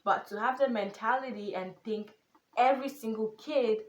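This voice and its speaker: A woman's voice: a little high, normal speed, roomy sound, very noisy. A teenage girl from Nigeria with a Nigerian accent tinged with British and American, speaking earnestly and emphatically in a casual vlog. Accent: Nigerian, with some British and American. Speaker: content creator.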